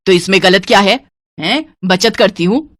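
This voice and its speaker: A woman's voice: mid-range voice, normal speed, fairly bright tone, dry recording, lightly acted. A woman voicing a stingy, scolding middle-aged mother-in-law in a cartoon moral story, bossy and grumbling.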